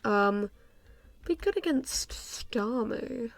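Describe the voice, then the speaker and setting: A young woman with a stuffy nose from a cold, casually commenting on her game and thinking aloud with many ums and soft laughs. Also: fairly high pitch, lively intonation, drawn-out words, vocal fry. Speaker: gaming YouTuber.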